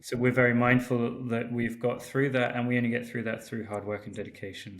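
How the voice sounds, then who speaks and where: A winemaker man from Portugal, a guest on a remote call, talking in a relaxed, hesitant way with many ums and restarts. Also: medium pitch. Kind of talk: casual interview chat. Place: home in Porto, remote call.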